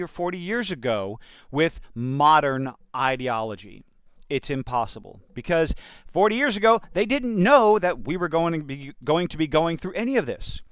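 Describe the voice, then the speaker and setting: A man's voice: a little high, fairly bright tone, clean tone, dry recording. A man giving opinionated commentary in a blunt, sardonic and emphatic manner. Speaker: commentator reacting to entertainment news. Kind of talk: opinionated commentary with quotes read out.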